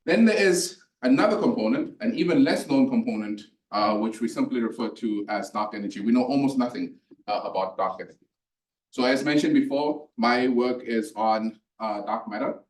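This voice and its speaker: A man from South Africa with a South African accent, whose first language is Northern Sotho, giving a relaxed physics lecture with a storytelling touch and frequent fillers. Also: mid-range voice, normal speed. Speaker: guest lecturer. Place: university lecture hall. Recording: echoey lecture hall from a distance.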